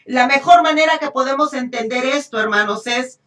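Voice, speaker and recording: A woman's voice: sits high, normal speed, a bit bright. A woman with a Honduran Spanish accent preaching a Bible lesson, emphatic, repeating phrases and checking in with rhetorical questions. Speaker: preacher teaching a congregation. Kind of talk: sermon, Bible teaching. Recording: echoey room, distant microphone.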